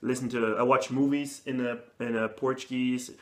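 A man's voice: medium pitch, little pitch movement, moderate pace. A young man, a guest in a casual interview chat, friendly and enthusiastic with many fillers. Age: young adult. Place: indoor living room with couch.

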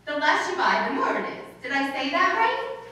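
Woman acting high-voiced scatterbrained wife, very echoey. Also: big pitch swings, fairly quick, bright, forceful tone, full character voice. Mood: scatterbrained, cheerful. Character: scatterbrained, bossy, cheerful wife muddling her words. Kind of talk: old-time radio comedy acting, staged reading. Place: stage in a hall before a seated audience. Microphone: far camera. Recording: very echoey room, distant camera microphone.

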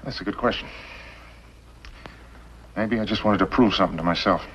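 A middle-aged man playing a scheming, bitter outlaw boss in a 1950s TV western, speaking with sardonic, deliberate intensity. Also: fairly low pitch, fairly bright tone. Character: scheming, bitter outlaw boss posing as mild telegraph operator. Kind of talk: 1950s television western acting. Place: small wooden cabin set, indoors. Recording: muffled, noisy old 1950s TV soundtrack.

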